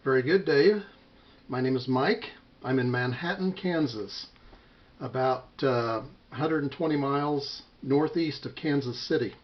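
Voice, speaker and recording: Measured American man, slightly muffled, radio chat. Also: mid-range voice. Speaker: amateur radio operator. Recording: muffled home recording with faint noise.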